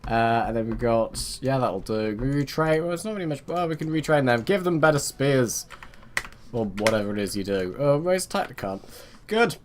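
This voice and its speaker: A man's voice: medium pitch, animated, moderate pace, a little hiss or hum. Rambling man muttering through a strategy game. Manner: self-mocking, chatty. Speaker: let's play gamer. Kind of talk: casual gameplay commentary.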